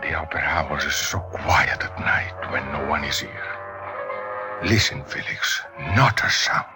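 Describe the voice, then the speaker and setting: An actor playing a desperate, menacing opera singer turned murderer in a vintage radio thriller, with dramatic, deliberate, formal phrasing. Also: male voice, very deep voice, lively intonation. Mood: quietly menacing. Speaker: actor and first-person narrator. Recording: vintage radio recording with music.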